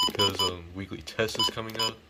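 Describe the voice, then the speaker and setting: Low-voiced man, casual unboxing vlog. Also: huge pitch swings, brisk, over loud music. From United States. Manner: informal, occasionally swearing. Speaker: hobbyist video host. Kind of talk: casual unboxing and setup demo.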